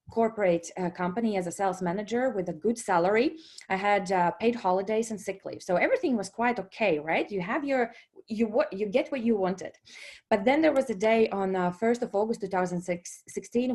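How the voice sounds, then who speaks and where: A woman's voice: medium pitch, darkish tone. A woman in her thirties from Latvia, speaking English with a Latvian accent, presenting to an online business audience with many fillers and restarts and frequent "okay?" check-ins.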